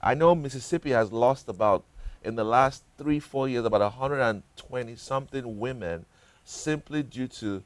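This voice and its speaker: A man hosting an interview show, asking questions in a relaxed, affirming way and often adding short reactions. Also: medium pitch, moderate pace, no room sound. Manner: warm-hearted, affirming, interjects "wow" often. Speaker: TV show host.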